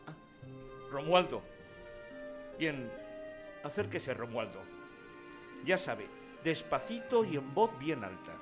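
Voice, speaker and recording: Slow-spoken, courteous schoolmaster played by a man. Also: fairly high pitch, sing-song. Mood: patient. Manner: measured, courteous, formal address. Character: kindly, formal village schoolteacher, older man. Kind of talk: scripted radio drama acting. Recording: music behind the voice.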